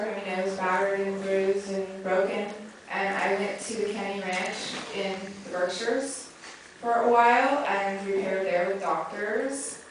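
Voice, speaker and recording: A woman film performer giving candid, halting, unscripted answers at a question and answer session. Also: medium pitch. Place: movie theater stage Q&A. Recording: echoey theater, distant mic, faint noise.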